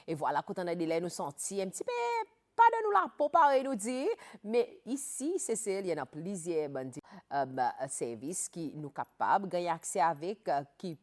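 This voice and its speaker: A woman's voice: lowish voice, big pitch swings, fairly quick. A woman hosting a casual radio interview, switching between Creole and English as she chats with her guest. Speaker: radio show host.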